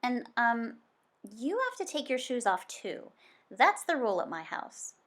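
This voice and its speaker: Storybook schoolboy, played by a woman: boastful yet easily embarrassed, dramatic, lively swooping delivery. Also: on the high side, unhurried, heavily acted. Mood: awkward.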